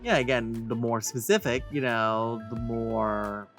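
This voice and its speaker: A gamer talks casually over a playthrough, reacting aloud in a conversational way. The voice sits at a medium pitch, with game music underneath. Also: a little slow, fairly bright tone. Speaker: let's play streamer. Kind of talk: casual gameplay commentary.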